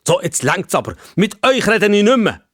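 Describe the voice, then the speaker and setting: A man's voice: sits high, big pitch swings, normal speed, husky, dry recording, clean studio sound, voice pushed up, full character voice. A man voicing an impatient, scolding male tram passenger in a children's story, with an exasperated manner and a Swiss accent. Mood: irritated.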